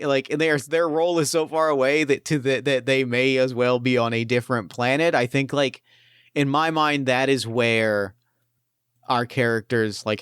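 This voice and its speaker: A man talks through his character and the scene as game master, circling back on his words as he thinks. His voice is smooth and resonant, at a middle pitch, and the sound is dry and close. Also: a bit bright, no echo. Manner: thoughtful, rambling with frequent restarts. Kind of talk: actual play character talk.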